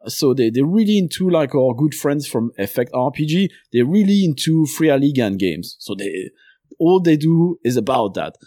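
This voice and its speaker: A man from Belgium with a Belgian French accent, speaking English as a second language in a relaxed interview. His voice is dark and mellow, and the recording is close and dry. He rambles at length, peppered with uh. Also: medium pitch, moderate pace, no echo. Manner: talks at length, many uh fillers. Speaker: podcast host and game designer guest. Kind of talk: casual interview chat.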